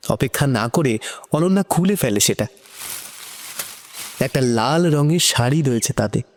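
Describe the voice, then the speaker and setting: A man's voice: medium pitch, animated, measured pace, a little hiss or hum. A man narrating an emotional romantic story from a script.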